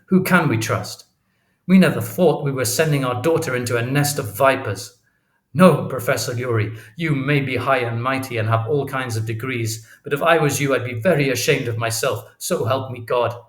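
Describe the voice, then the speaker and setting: A man's voice: mid-range voice, roomy sound, close to an everyday voice. A man voicing a small, humble working father in an audiobook, speaking with heavily stressed, morally indignant words. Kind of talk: audiobook character voice.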